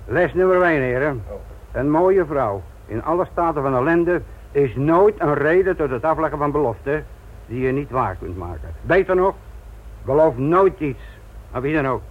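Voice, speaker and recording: An actor playing a blunt, sardonic, middle-aged police inspector lecturing trainees in a Dutch radio play. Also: male voice, fairly high pitch, animated, moderate pace. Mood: wry, bitter. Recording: tinny, noisy old radio play recording.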